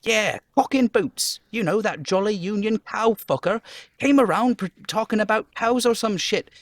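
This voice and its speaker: A man voicing an aggressive, sneering young street boy who swears and talks about himself in the third person in a high, strained voice, in a game. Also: moderate pace, no room sound, clean studio sound, lightly acted. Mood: aggressive, scornful. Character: foul-mouthed aggressive bratty young street boy, Kuno.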